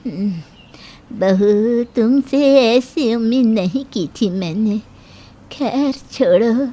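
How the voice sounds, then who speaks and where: A woman voicing a stern but fair middle-aged Indian mother-in-law in a moral-story cartoon, speaking in a measured, admonishing way. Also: fairly high pitch, warm tone. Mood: disapproving.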